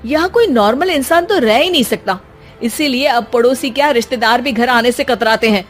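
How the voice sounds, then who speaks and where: A woman's voice: fairly high pitch, brisk, faint music behind, natural voice. A woman voicing an exasperated young married son in a family cartoon, teasing and wearily scolding.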